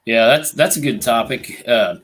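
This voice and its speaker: A man's voice: mid-range voice, moderate pace, a bit bright, breathy edge. A Midwestern American man hosting a casual live ghost hunting talk show, rambling with lots of "you know" fillers and just getting over an illness. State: recovering from illness, voice coming back.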